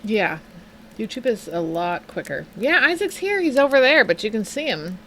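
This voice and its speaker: A woman in her thirties from Pennsylvania, co-hosting a casual live stream, chatty and quick to laugh as she reads out viewer comments. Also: a little high, sing-song, fairly bright tone, a little hiss or hum. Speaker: co-host of a live stream show.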